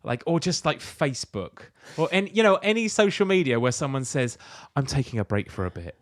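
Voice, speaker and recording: A man's voice: on the high side, very animated, fairly quick, dry recording, clean studio sound. A British man co-hosting a podcast in casual comic chat, ranting and swearing in exasperation. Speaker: podcast co-host.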